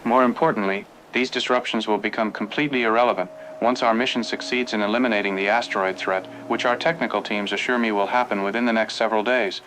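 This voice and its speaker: A man's voice: lowish voice, fairly even intonation, quick pace, hardly any pauses, background noise, lightly acted. A man playing a formal, reassuring government official giving a bureaucratic crisis statement in an audio drama. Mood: confident, reassuring.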